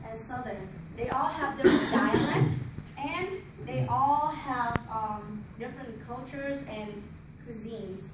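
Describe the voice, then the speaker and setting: Student, echoey classroom. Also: female voice, sits high. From Vietnam. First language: Vietnamese. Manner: halting, non-native phrasing. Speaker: student giving a class speech. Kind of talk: informative classroom speech. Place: college classroom. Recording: echoey room, distant, noisy.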